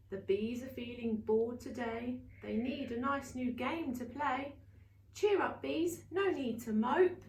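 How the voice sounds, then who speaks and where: A woman reading a children's bedtime story aloud in an expressive, theatrical way with emphatic exclamations. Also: high-pitched. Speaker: story reader for a family centre. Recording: roomy, distant mic, faint noise.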